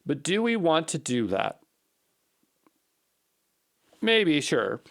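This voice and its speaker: A man's voice: fairly high pitch, big pitch swings, slow, vocal fry, fairly bright tone. A man giving an unscripted coding walkthrough as an instructor, thinking aloud in a tentative, musing way and questioning himself. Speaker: programming instructor.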